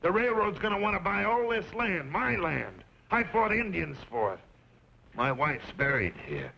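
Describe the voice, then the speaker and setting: An actor playing a garrulous old rancher father in a western radio drama, folksy and rambling with quiet menace. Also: high-pitched, very animated, vocal fry, heavily acted. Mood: quietly menacing. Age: older. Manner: folksy, rambling, drawn-out emphasis. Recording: muffled, noisy old radio recording.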